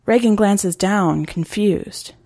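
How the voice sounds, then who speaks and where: A woman narrating a short story in a measured, dramatic way, as a podcast host reading scripted fiction. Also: medium pitch, dark tone, no room sound, studio quality.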